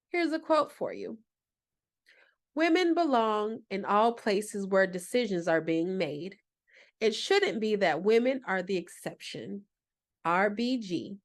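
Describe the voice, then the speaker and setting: A woman in her forties reading a prepared radio segment. Her pitch is mid-range, and she delivers the script evenly, like a short informational spot. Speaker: segment presenter.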